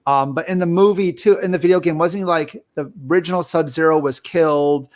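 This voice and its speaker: A man's voice: fairly high pitch, a little fast. A man hosting a podcast, talking casually as he discusses and reviews films. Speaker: podcast host.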